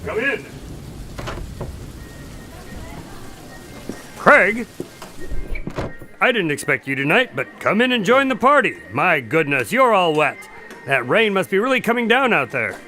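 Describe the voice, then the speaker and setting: A man's voice: sits high, big pitch swings, normal speed, sharp tone, faint music behind, heavily acted. A man acting a genial, courteous doctor hosting a party in a radio drama, polite and a bit fussy. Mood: welcoming, flustered.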